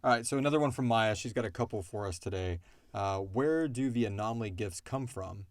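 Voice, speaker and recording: A man with a deep voice and a creaky edge chats as a podcast co-host. He answers listener questions casually, wandering through explanations and joking along the way. The sound is dry, with little room in it. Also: fairly quick, dry recording. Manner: rambling, joking explanations with acted-out quotes. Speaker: podcast co-host and creator of an audio drama, answering fan questions.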